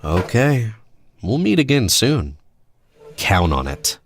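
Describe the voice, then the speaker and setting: A man playing a cocky, needling American spy in an audio drama, casual and clipped, with quiet menace. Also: fairly low pitch, big pitch swings, slow, a little hiss or hum, heavily acted. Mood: menacing.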